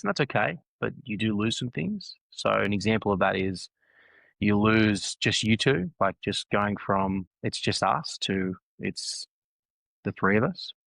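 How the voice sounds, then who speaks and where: Australian man, 30s, low voice, reflective podcast guest, dry close mic. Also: lively intonation, measured pace, creaky, fairly bright tone, no echo. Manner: thoughtful, restarts phrases often, repeats points for emphasis. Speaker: podcast guest, husband and dad. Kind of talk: casual reflective chat.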